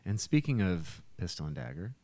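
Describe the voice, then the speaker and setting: A man's voice: sits low, sing-song, normal speed, warm tone. A man, chatting casually on a podcast. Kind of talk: casual chat.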